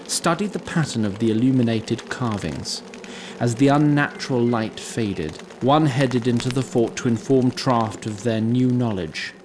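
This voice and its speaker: A man narrating a scripted fantasy story in a deliberate, literary, wry manner. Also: mid-range voice. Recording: clear background noise and ambience.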